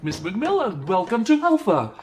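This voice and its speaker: An actress playing a polite club greeter in a radio comedy drama. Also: female voice, medium pitch, sing-song, normal speed, a little mellow, a little hiss or hum, close to an everyday voice. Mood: courteous.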